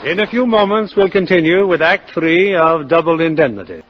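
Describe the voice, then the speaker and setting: A man's voice: fairly high pitch, animated, normal speed, background noise. A man announcing and narrating a radio drama in scripted 1950s style. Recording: muffled vintage radio, music behind.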